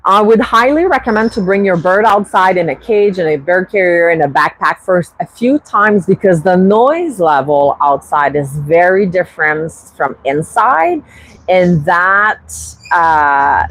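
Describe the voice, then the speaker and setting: Slow-talking Quebec French woman host. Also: lowish voice, no room sound, rough recording. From Quebec, Canada. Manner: unhurried, restarts often, reads viewer comments. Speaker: bird-keeping channel host. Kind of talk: casual livestream chat.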